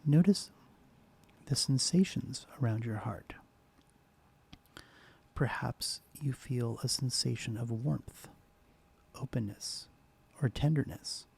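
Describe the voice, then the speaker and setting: A man hosting a soft-spoken ASMR guided meditation, speaking slowly and gently, often dropping into a whisper. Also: medium pitch, vocal fry, breathy edge. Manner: slow, soft, partly whispered. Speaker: ASMR host.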